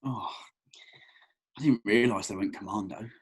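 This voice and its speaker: A man's voice: on the low side, moderate pace, breathy edge. A man playing an awkward, eager young man in a script read, hesitant and conversational. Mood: defensive, boastful.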